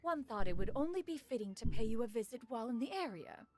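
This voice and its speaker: A woman's voice: a little high, animated, a little mellow, faint music behind, close to an everyday voice. A woman voicing a formal, aloof immortal lady in a video game who speaks of herself in a grand, old-fashioned way. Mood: flustered. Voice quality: archaic formal speech, refers to herself as "one". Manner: measured and grand, flustered when caught out.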